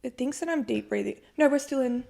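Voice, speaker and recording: A woman in her twenties bantering casually and loudly as a podcast co-host, close to a microphone in a small room. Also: fast, creaky. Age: 20s. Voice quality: fairly deep voice for a young woman. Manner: loud, self-mocking. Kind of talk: casual banter between friends. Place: small indoor room, seated on armchairs. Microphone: podcast microphone on a boom arm.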